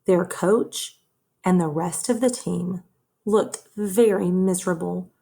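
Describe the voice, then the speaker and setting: A woman reading a children's story aloud in a measured, expressive way, as a teacher would for young listeners. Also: fairly low pitch, creaky, breathy edge. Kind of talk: children's read-aloud narration.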